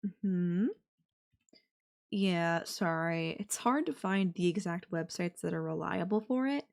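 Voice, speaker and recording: A woman voice actor playing a possessive yandere girlfriend in an intimate audio roleplay. She talks very slowly and coyly, with hesitant hums and pauses, her pitch swinging in a lively way as she feigns sweetness. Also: gravelly, full character voice. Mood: sweet, coy. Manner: hesitant, drawn-out, coy delivery with hums and pauses. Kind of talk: girlfriend audio roleplay, one side of a call.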